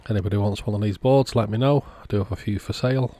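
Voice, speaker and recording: Low-pitched man narrating casually. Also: fast talker, warm tone, dry recording. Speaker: video host, radio repair technician. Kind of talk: casual narrated repair commentary.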